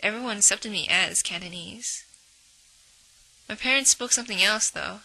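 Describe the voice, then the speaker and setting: A woman's voice: mid-range voice, moderate pace, creaky, pushed and bright, poor audio. A young woman narrating a personal documentary in a reflective voice-over.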